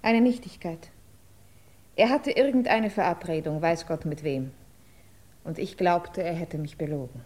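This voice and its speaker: An actress playing an anguished woman in a 1950s radio drama, speaking in emotive, deliberate phrases. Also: lowish voice, darkish tone. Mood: subdued, remorseful. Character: anguished woman confessing lost love, turning desperate.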